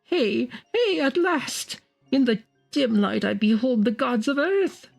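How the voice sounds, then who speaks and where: A proud, fanatical prophet as a woman reader acts him out, crying out in archaic, grandiose phrases. The voice is shrill and raised high, in a storybook horror style, with music playing underneath. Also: animated, slow, creaky, exaggerated voice. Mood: frenzied delight. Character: Barzai, proud fanatical male prophet, exultant, wildly shouting. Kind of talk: dramatic audiobook character voice.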